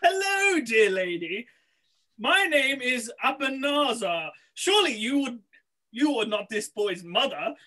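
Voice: mid-range voice, sing-song, a little slow, pushed and bright. A performer playing a scheming, boastful male sorcerer in an amateur pantomime read-through, with theatrical, cackling delivery over a video call. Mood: oily, flattering. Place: small room, on a video call. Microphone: computer mic on video call.

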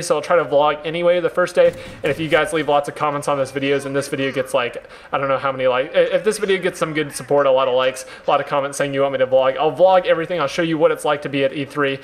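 A young man, a gaming YouTuber, chatting excitedly to his viewers, rambling and repeating words, his voice a bit hoarse from losing it. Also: mid-range voice. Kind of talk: casual vlog talk to viewers over gameplay.

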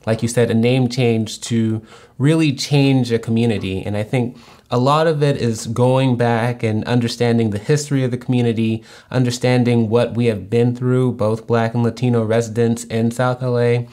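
A young man at a middle pitch hosts an interview over a video call. He asks calm, thoughtful questions from a small room at home. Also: normal speed. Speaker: host. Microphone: video call webcam.

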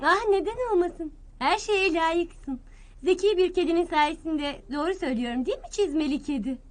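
An eager cartoon princess. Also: female voice, very high-pitched, moderate pace. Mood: admiring. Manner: coaxing, insistent. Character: young princess, pleading and eager. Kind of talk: Turkish cartoon dub.

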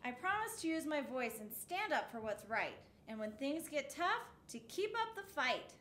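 A woman in her thirties reading a picture book aloud for children's storytime, phrase by deliberate phrase, in a roomy indoor space. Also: high voice, big pitch swings, fairly bright tone. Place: indoor set with backdrop, seated. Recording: roomy echo, faint background noise.

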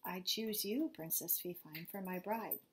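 A woman reading aloud as an arrogant, evil prince who speaks slowly and with a sneer. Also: fairly low pitch, lightly acted.

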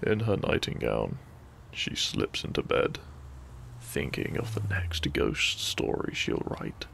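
A man narrating a gentle bedtime story in ASMR style, soft, breathy and soothing, often close to a whisper. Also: rumbling low, sing-song, takes it slowly, slight background noise. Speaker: sleep-aid audio narrator.

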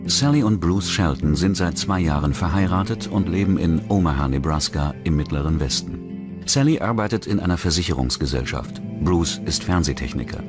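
Deep-voiced man narrating over music. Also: animated, normal speed. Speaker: documentary narrator. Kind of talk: scripted true-crime narration.

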